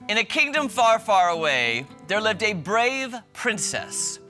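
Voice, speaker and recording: A TV host with a bright, forward voice and lively, swooping pitch. The delivery is playful and emphatic, part banter and part storytelling, over a bed of music. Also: measured pace. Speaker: TV show host. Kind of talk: playful group banter and reading a silly fairy tale aloud. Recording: music playing behind.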